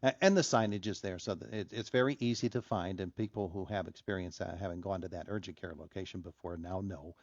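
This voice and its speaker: A man's voice: deep voice, fast talker, hardly any pauses, no echo, lo-fi sound. A man hosting a local morning radio interview, chatting easily and affirming his guest often. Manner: conversational, frequent affirming murmurs. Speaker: radio host.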